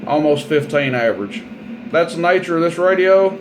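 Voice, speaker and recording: A man with a somewhat high-pitched voice narrates a hands-on equipment demo. He is informal and folksy, talking plainly like a seller showing how a thing works. The recording has a clearly audible steady noise throughout. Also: normal speed. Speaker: product demonstrator and seller.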